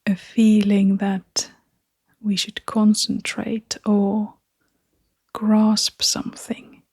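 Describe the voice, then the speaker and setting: Female meditation guide: hushed and breathy, level intonation, unhurried short phrases with long gaps, very dry close recording. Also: medium pitch, completely flat delivery, no room sound. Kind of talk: guided meditation, soft-spoken.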